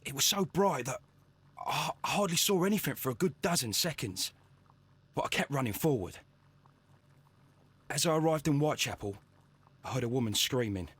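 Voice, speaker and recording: A man's voice: mid-range voice, lively intonation, normal speed, pauses often, fairly bright tone, breathy edge. A man playing an anxious young working-class Victorian with a London accent in a video game, earnest and stammering as he speaks. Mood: shaken, earnest. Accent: English, London. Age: young adult.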